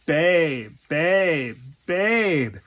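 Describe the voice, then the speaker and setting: A woman's voice: low-pitched, big pitch swings, drawn-out words, fairly bright tone, heavily acted. A female storyteller plays a weaselly, placating boyfriend in a dramatic story. The delivery is drawn out and hesitant, repeating itself with a pleading lilt. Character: evasive, stammering boyfriend, placating then petulant.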